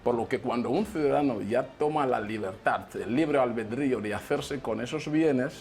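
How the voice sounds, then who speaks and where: A man's voice: medium pitch, moderate pace, a little mellow. A man on a studio panel, explaining and defining ideas step by step. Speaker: guest.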